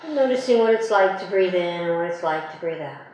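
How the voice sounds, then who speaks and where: Female meditation guide. Gentle, unhurried, long pauses. Very reverberant room, faint noise. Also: on the low side, animated. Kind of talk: guided meditation, soothing slow instruction.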